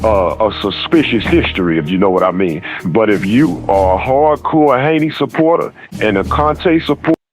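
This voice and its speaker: A man's voice: on the low side, moderate pace, hardly any pauses, faint music behind, low-quality recording. A man giving opinionated boxing commentary, emphatic and repeating his points.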